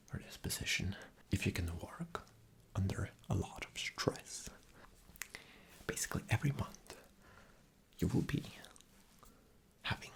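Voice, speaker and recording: A man plays a rude, arrogant male boss in an ASMR interview roleplay. His voice is low and mostly whispered, with a gravelly creak. He comes across as sarcastic, impatient and demanding. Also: full character voice. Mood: condescending.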